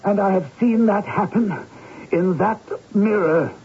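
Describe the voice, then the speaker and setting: An actor playing a troubled Victorian writer who sees visions, speaking in agitated, theatrical old radio drama style. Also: male voice, sits high, unhurried, very noisy. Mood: shaken. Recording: dull old radio broadcast with music.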